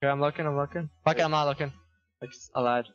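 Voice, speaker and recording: A man talking on voice chat during a game, excitable with loud outbursts and laughter. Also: on the high side, rapid-fire. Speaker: teammate on voice chat. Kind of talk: casual gaming chat. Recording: muffled voice chat.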